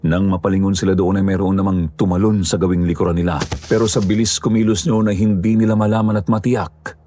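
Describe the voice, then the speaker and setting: A man narrating a horror story in a steady, measured storyteller manner. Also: deep voice, slight background noise.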